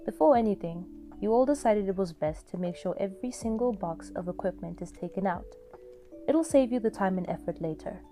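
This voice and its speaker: Mellow woman narrating a story. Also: mid-range voice, lively intonation, a little fast. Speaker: narrator of fan fiction audio story. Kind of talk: expressive scripted second-person narration. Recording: music under the voice.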